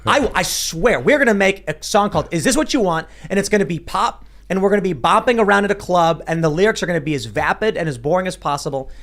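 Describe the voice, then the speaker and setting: Animated high-pitched male show host. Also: fast, hardly any pauses. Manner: animated, voice jumps up when excited. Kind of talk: casual panel chat.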